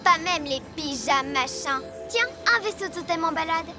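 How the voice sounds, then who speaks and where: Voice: very high voice, normal speed. A performer voicing a gloating, grandiose child villain in a cartoon dub. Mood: smug, scheming. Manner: grandiose, dramatic. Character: gloating, theatrical child villain bent on ruling the world. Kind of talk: French cartoon dubbing. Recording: loud music under the voice.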